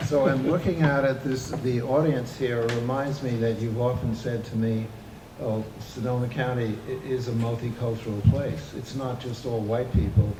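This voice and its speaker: A man interviewing an author on stage before an audience, speaking haltingly with many restarts. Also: medium pitch, steady tone, roomy sound, noisy background. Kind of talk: onstage interview. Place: event hall with an audience.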